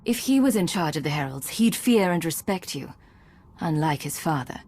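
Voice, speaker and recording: A shrewd female agent with a lowish, husky voice. She is composed and dry, speaking with clipped control as a commander in a video game. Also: expressive, moderate pace, a little mellow, heavily acted. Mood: steady, decisive. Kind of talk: scripted video game acting.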